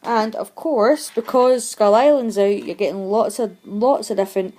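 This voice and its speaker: A woman's voice: medium pitch, moderate pace, a little mellow. A young woman who is losing her voice, chatting casually and rambling about films she bought. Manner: chatty, rambling, self-deprecating. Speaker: vlogger showing film purchases. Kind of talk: casual chat.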